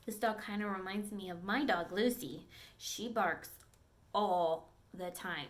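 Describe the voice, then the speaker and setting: A woman teacher reading a picture book aloud to children, with expressive storytelling and friendly asides to her listeners. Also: mid-range voice, a little slow, slight background noise.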